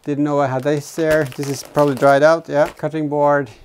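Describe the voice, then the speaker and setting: A man, probably in his thirties, giving a casual hands-on workshop narration in a halting way as he thinks aloud while working. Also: a little high, flat delivery, slight background noise. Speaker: host of a DIY vehicle build vlog. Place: indoor garage workshop, in and around an open car.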